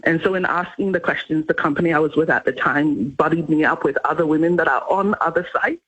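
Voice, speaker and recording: A woman's voice: low voice, breathy edge. A woman from Ghana giving earnest, explanatory answers in conversational style as a guest in a radio interview. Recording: muffled radio interview audio.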